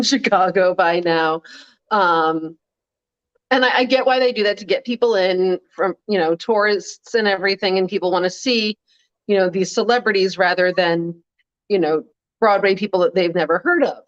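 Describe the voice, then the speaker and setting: Co-host, woman, wry and chatty, lowish voice, slightly muffled recording. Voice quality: deep voice for a woman. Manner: chatty, wry, quick asides with laughs. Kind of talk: casual podcast chat.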